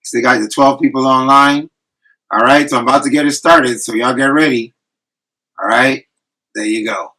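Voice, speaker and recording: A man hosting an online group discussion and quiz, animated and casual, slipping into example voices as he explains. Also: medium pitch, moderate pace, pauses often, rough recording. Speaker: host running an online quiz.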